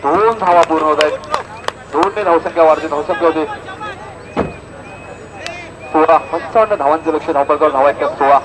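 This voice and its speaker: A man's voice: on the high side, fairly even intonation, fairly quick, pauses often, noisy background. A man with a Maharashtrian accent giving live local cricket commentary, repeating score lines and dropping chatty asides to listeners. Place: outdoors at a cricket ground.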